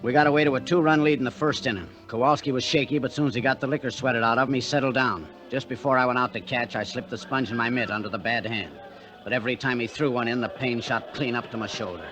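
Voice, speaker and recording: An actor playing a hot-tempered, guilt-ridden baseball catcher in a vintage American radio drama, with gruff, slangy, clipped talk. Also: male voice, mid-range voice, quick pace, a bit bright, close to an everyday voice. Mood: tense recounting. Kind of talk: 1940s-50s American radio drama acting. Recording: vintage radio recording with music.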